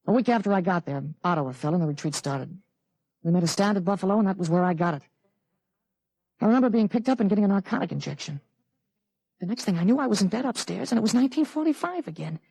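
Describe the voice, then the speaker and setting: Thirteen-year-old boy, grown-up mind, brisk delivery, matter-of-fact, articulate, vintage radio drama, dry sound. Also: medium pitch, lively intonation, darkish tone, dry recording, poor audio. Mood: grave, recounting. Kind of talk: 1950s radio drama acting. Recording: vintage 1950s radio broadcast recording.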